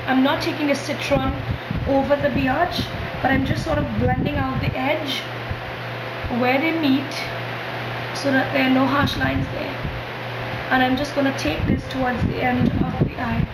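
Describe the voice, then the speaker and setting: Hoarse high-voiced woman, echoey and noisy. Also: moderate pace. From Trinidad. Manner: calm step-by-step instructions, soft fillers. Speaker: beauty vlogger. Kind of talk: makeup tutorial. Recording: echoey room with loud background noise.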